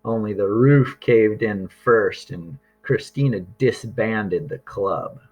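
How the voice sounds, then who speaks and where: A man reading a children's story aloud as narrator, with expressive, deliberate phrasing. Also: fairly low pitch, slightly rough, highs cut off, faint music behind. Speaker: storybook reader.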